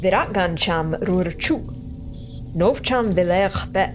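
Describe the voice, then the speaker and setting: Slow, measured woman, lowish voice. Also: animated, soft background music. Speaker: audio drama actor. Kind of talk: radio drama acting.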